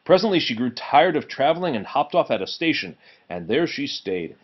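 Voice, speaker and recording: A man reading a children's storybook aloud as an expressive narrator. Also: medium pitch, moderate pace, husky, muffled recording. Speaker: storybook reader.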